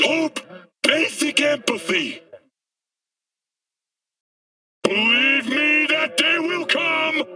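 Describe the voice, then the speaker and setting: High-pitched, fiery street preacher. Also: male voice, distant mic, exaggerated voice, low-quality recording. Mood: angry, urgent. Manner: impassioned, declamatory, preaching. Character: fiery male street preacher ranting against corporate dystopia. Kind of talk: dramatic video game monologue.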